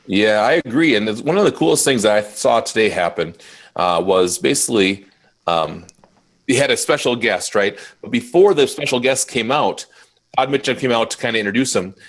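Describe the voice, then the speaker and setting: A man who runs a mobile DJ company, chatting in a casual live interview and telling stories with lively jumps in his voice. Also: mid-range voice, normal speed. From Minnesota, USA. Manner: animated storyteller, voice jumps up when quoting.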